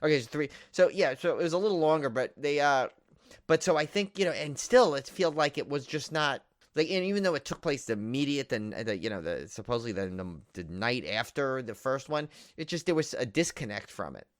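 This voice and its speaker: Guy cohosting a movie podcast, mid pitch, kinda rambly and halting but into it, really dry sound. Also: expressive, no room sound. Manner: rambling, halting, self-correcting, enthusiastic. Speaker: horror movie podcast co-host. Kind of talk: casual film discussion.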